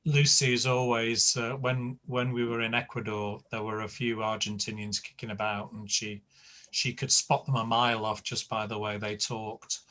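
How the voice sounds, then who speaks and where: A man running a class over a video call speaks, unhurried and full of ums. His pitch sits in the middle, and his pace is very slow. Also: bright, forceful tone. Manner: slow, hesitant, filler-heavy. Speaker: teacher. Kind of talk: online class.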